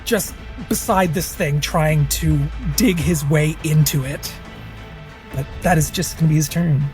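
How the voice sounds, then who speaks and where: A mid-pitched player explains a turn casually and quickly. Faint music plays behind. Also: darkish tone. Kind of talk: tabletop game play.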